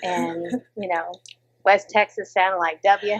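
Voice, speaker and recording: A woman's voice: mid-range voice, slow pace, slightly rough. American audiobook narrator lady chatting away, acts out quotes, kinda muffled lo-fi sound. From Minnesota, USA. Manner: animated, drops into quoted voices. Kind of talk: casual interview chat.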